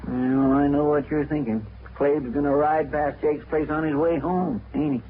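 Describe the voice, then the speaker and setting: An actor playing a loyal, fretful frontier deputy with a hesitant, stammering drawl. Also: male voice, fairly high pitch, fairly even intonation, moderate pace, natural voice. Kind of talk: 1950s radio drama acting. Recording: tinny, hissy old radio broadcast.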